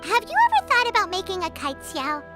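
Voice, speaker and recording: Casting: tiny chirpy companion, very high, swooping voice. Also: female voice, wildly swooping pitch, brisk, over loud music, full character voice. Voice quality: high, bouncy, bubbly. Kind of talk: game voice acting.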